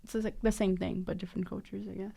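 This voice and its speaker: Chatty young woman, co-host, mid-range voice with some creak. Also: mid-range voice, level tone, quick pace, mellow. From Brazil. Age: 20s. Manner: chatty, giggly, lots of "like". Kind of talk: casual chat.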